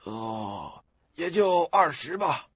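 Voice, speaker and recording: A man acting a slow-talking old cemetery keeper. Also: on the high side, big pitch swings, fairly bright tone, slightly rough, no echo, heavily acted. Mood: curious, matter-of-fact. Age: older. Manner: slow, questioning. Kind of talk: radio drama acting. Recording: dull lo-fi audio, music behind.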